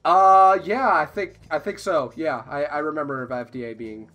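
A man chatting casually as a podcast guest, easygoing and a little halting in how he gets his sentences out. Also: fairly high pitch, a bit bright.

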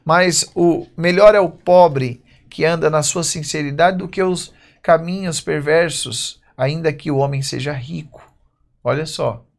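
A man in his thirties with a Brazilian accent, preaching a sermon at a church pulpit, reading verses slowly and then speaking in quick bursts. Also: a little high, vocal fry. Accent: Brazilian Portuguese. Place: church stage at a wooden pulpit.

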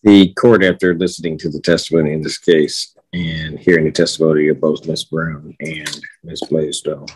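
Very deep, husky American male judge. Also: little pitch movement, low-quality recording. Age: middle-aged. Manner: measured, deliberate, official phrasing. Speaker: judge presiding over a court hearing. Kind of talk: formal courtroom rulings. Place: courtroom bench on a video call.

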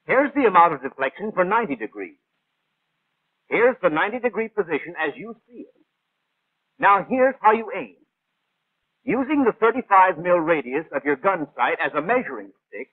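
An actor playing a brisk, know-it-all gunnery instructor in a 1940s animated training film, precise, clipped and didactic. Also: male voice, sits high, no echo, narrow, tinny audio. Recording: thin, band-limited 1940s film soundtrack.

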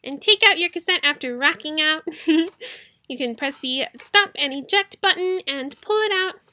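Bright, high-pitched young woman. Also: moderate pace. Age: young adult. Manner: giggly, informal, rambling. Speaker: YouTube host, cassette collector. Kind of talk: casual tutorial chat to viewers. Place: small bedroom, indoors.

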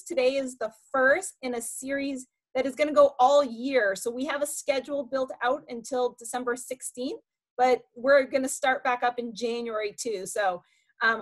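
A woman in her forties hosting a youth science webinar over a video call from home, upbeat and enthusiastic, with some fillers. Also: high voice. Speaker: youth program host. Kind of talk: casual webinar introduction. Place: home room, indoors. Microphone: laptop webcam on a video call.

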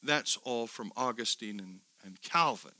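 A middle-aged man teaching a seminar, animated, asking his listeners questions and swinging between quiet asides and loud emphasis. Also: medium pitch, measured pace, no room sound. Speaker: theology teacher. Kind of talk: seminar lecture to a live group.

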